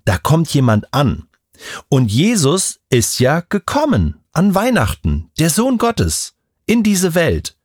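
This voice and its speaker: Middle-aged male explainer for children's audio, husky, lively swooping intonation, emphatic and reassuring, dry sound. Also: a little high, slow pace, dry recording. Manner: emphatic, reassuring, repeats key words. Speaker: expert guest explaining to a child.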